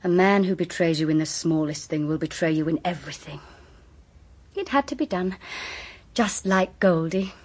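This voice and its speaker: An actress playing a coolly amused, seductive and remorseless murderess in a radio drama, with a silky, mocking delivery. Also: female voice, on the low side, moderate pace, darkish tone, lightly acted.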